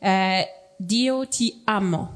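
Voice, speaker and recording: A woman's voice: mid-range voice, extremely slow. A woman speaking hesitantly with many fillers, like a learner choosing words.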